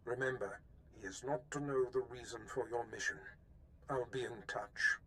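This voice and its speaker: Game villain: commanding dark master, conspiratorial and deliberate, medium register. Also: male voice, medium pitch. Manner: measured, imperious, conspiratorial. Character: scheming, commanding dark lord giving secret orders. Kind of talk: cinematic video game voice acting.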